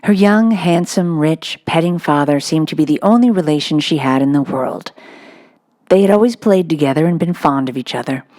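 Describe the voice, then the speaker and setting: A woman's voice: sits low, normal speed, mellow. A woman reading a children's novel aloud as narrator, in a scripted storybook style.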